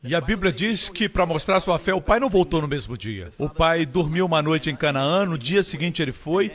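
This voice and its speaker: A man preaches with strong emphasis, his fairly high voice leaping upward when he drives a point home. A long reverb tail hangs behind every phrase, as if the sound carries through a large hall. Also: lively intonation, moderate pace. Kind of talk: Portuguese-dubbed sermon.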